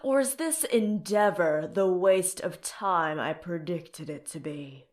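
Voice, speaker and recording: A woman's voice: fairly low pitch, animated, slow pace, darkish tone. A woman playing a scornful, impatient council elder in a fantasy audio drama, imperious and contemptuous.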